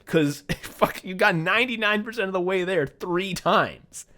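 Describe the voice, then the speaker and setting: An American man hosting a comedy podcast, talking casually in an animated, sweary way. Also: high-pitched, measured pace. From United States. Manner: animated, sweary, laughs readily. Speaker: podcast host.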